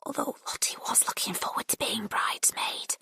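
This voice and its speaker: A woman voicing a tactful, supportive female friend who mediates gently in an audiobook. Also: moderate pace, dry recording, whispered, studio quality, close to an everyday voice. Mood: hushed. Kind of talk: audiobook character dialogue.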